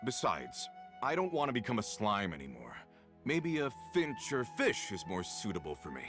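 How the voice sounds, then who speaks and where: A man's voice: fairly low pitch, huge pitch swings, moderate pace. A man playing a hesitant, restless ordinary young guy in a game, speaking casually with a slight grumble. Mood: reluctant. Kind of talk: game voice acting. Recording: loud music under the voice.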